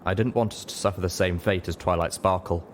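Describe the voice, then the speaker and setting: Low-voiced man narrating a story. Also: steady tone, quick pace, slight background noise. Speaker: audiobook reader. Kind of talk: scripted first-person story narration.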